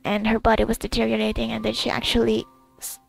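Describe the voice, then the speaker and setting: Woman, casual gaming recap, halting, rambling, creaky, slightly high voice, faint music behind. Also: monotone. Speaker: gamer recording her playthrough.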